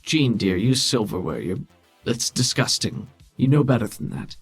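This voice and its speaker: A man playing a cool, scheming, condescending political mastermind who speaks formally and with measured authority in a tabletop game. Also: mid-range voice, animated, slightly rough, soft background music. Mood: disdainful.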